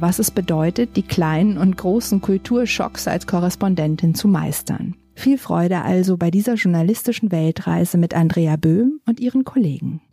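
A woman presenter reading a scripted podcast introduction with an even delivery. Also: lowish voice.

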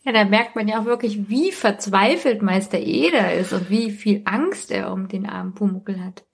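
A woman's voice: medium pitch, moderate pace, gravelly, slightly rough. A woman co-hosting a podcast in casual, conversational chat. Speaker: podcast co-host.

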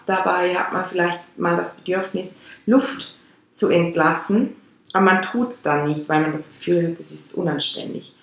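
Swiss woman in her thirties, Swiss German accent, rambling chakra talk, gravelly tone, very echoey room. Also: fairly low pitch. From Switzerland. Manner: rambling, with restarts and digressions. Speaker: chakra teacher and podcast host. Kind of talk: casual spoken explanation.

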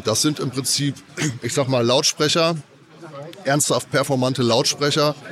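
A man with a cold, explaining audio tech at a trade fair in a loose, filler-heavy way. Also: mid-range voice. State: has a cold. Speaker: audio company representative. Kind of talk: casual trade-fair interview.

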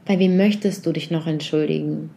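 A woman leading a guided reflection meditation, speaking softly and unhurriedly as she puts a series of gentle questions directly to the listener. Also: deep voice, steady tone, brisk, gravelly, slight background noise.

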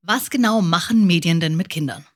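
A woman's voice: fairly low pitch, animated, a little fast. A woman presenting and interviewing as a podcast host.

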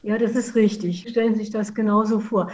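Woman, mellow voice. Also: mid-range voice, fairly even intonation, lo-fi sound. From Saarland, Germany. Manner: measured, explanatory. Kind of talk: interview answers.

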